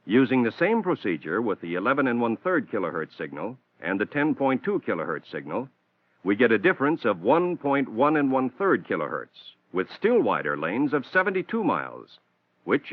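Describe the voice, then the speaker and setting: An American man reading a scripted instructional narration for a training film in a measured, formal, explanatory manner, on a 1960s film soundtrack. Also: lowish voice, very animated. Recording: dull, band-limited 1960s film soundtrack.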